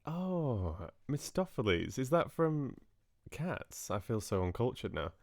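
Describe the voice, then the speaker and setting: A man's voice: mid-range voice, very animated, slow pace, creaky, no room sound, clean studio sound. A man hosting a livestream, chatting casually with viewers in a friendly, hesitant way with trailing asides. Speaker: livestream host. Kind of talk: casual chat with live viewers.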